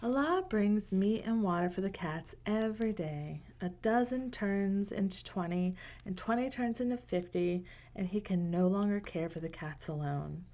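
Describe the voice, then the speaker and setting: A woman reading a picture book aloud to children in a gentle, unhurried way, with casual asides. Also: medium pitch. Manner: gentle, unhurried, pausing between short phrases.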